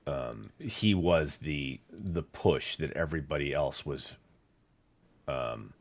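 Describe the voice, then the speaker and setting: Male co-host, very deep voice, animated, rambling casual delivery. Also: takes it slowly, vocal fry. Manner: rambling, many fillers and restarts. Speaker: podcast co-host. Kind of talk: casual chat.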